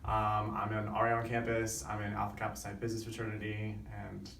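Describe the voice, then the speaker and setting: A man's voice: lowish voice, completely flat delivery, brisk, creaky, a bit bright. Rambling young man, echoey and distant. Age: young adult. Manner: rambling, many "like" fillers. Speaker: student interviewee. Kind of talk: casual interview answer. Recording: echoey room, distant mic, faint noise.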